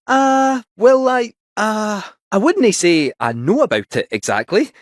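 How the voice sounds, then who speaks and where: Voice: mid-range voice, big pitch swings, measured pace, no room sound, clean studio sound. An actor voicing a jaunty, theatrical robot cat with a Scottish accent in a video game, helpful, folksy and a little fussy. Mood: hesitant, earnest. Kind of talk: animated video game character acting.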